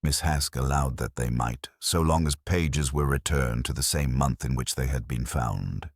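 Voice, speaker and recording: Very deep, husky male story narrator. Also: measured pace, dry recording, clean studio sound. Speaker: audiobook narrator. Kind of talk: scripted literary mystery narration.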